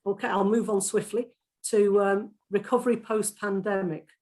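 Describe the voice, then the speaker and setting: A woman with a Lancashire accent giving a brisk, persuasive speech as a candidate at an election hustings. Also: medium pitch, steady tone, darkish tone. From Lancashire, England. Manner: brisk, persuasive campaign speaker.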